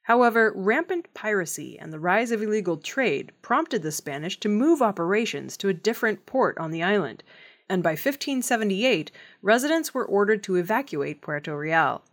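Woman reading news with lively intonation. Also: mid-range voice, moderate pace. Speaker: news presenter. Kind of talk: scripted news narration.

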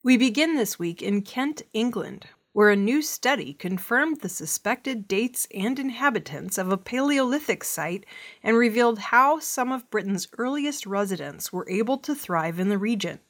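A woman reading scripted news stories as a measured narration for listeners. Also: on the high side, animated. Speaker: news reader.

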